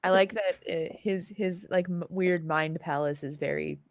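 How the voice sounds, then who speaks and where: A woman's voice: on the low side, measured pace, no echo, studio quality. A woman chatting casually as a player in a tabletop game. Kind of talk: casual chat.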